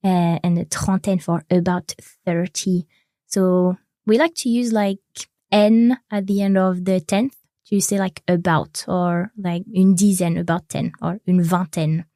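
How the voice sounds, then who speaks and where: A woman in her twenties from France, reading French lines and adding grammar notes in a relaxed way. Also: fairly low pitch, no echo, studio quality. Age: 20s. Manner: slow, relaxed, with fillers and light laughs. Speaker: co-host, native French speaker. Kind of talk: bilingual language lesson.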